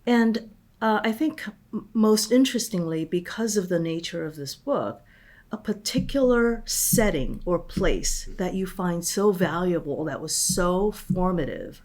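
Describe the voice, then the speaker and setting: A woman interviewer asking a measured, reflective question in conversation. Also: on the low side, animated, darkish tone. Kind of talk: discussion question.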